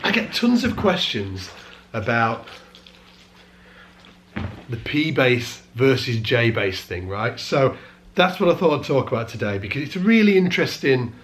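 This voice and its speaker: A British man who is losing his voice, chatting casually to camera about bass guitars in a vlog. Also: very animated, unhurried, a bit bright, slight background noise. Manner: chatty, self-correcting, explaining as he goes. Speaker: bass teacher and vlogger.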